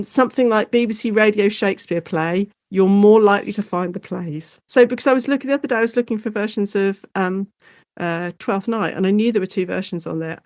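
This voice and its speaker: A woman chatting casually as an interview guest, animated and often correcting herself as she explains. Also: mid-range voice, no echo, studio quality. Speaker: guest, university lecturer and author.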